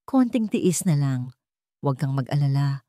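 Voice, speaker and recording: A woman's voice: a bass voice, sing-song, moderate pace, mellow, no echo, studio quality. A woman voicing a scheming stepmother in a story, falsely sweet and theatrical. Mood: conspiratorial. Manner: theatrical, insincere, exclamatory.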